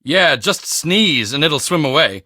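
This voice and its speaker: A man voicing a cocky, boastful teenage high school football star in a video game, with a swaggering, emphatic, self-important delivery. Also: big pitch swings, unhurried, pushed and bright, no echo, studio quality, full character voice. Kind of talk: video game character dialogue.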